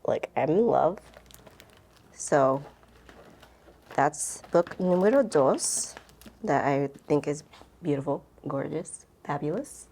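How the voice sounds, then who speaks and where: Lady doing a rambly bookish vlog, lowish voice, super casual and emphatic. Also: animated, takes it slowly, creaky, long pauses, warm tone, breathy edge. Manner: gushing, informal, emphatic. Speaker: book vlogger. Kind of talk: casual rambling chat to camera.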